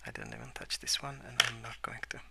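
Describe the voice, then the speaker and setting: A man speaking softly and hesitantly in a rambling ASMR style, often slipping into whispers at a desk indoors. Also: medium pitch, wildly swooping pitch, fast talker, gravelly, heavy background noise. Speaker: host of a colouring ASMR channel. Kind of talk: soft-spoken rambling ASMR. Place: at a wooden desk indoors, with pencil sounds.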